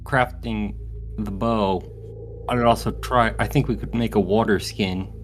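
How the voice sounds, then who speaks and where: A man with a slightly low voice takes part in a tabletop game as a player. His talk is casual, and faint music plays behind him. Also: fairly even intonation, unhurried.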